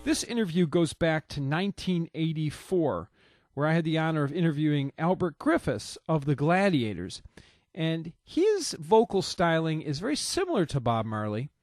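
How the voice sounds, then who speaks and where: A man hosting, introducing an old interview in a measured, explanatory way. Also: a little high, very animated, darkish tone, no room sound, clean studio sound. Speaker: host and interviewer. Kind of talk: introductory narration.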